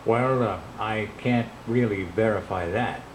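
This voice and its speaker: A man's voice: fairly low pitch, measured pace, natural voice. A man playing a cautious, learned scientist who speaks formally and hesitantly in a radio drama interview. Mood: guarded, careful. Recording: echoey room playback, faint noise.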